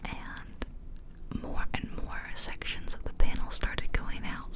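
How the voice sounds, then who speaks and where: A woman whispering softly and breathily into a close microphone, chatting casually in a rambling ASMR style. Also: rough recording. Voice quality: soft, breathy unvoiced whisper. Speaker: ASMR video creator. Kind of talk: ASMR whispered casual chat over gameplay. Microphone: close ear-to-ear microphone.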